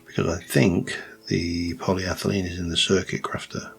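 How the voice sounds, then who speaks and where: A man with a light Yorkshire accent, rambling through casual gameplay commentary with many pauses and restarts. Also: deep voice, little pitch movement, vocal fry, a bit bright, soft background music. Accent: light Yorkshire English. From Yorkshire, England. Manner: rambling, thinks aloud with many ums and restarts. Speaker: let's play host.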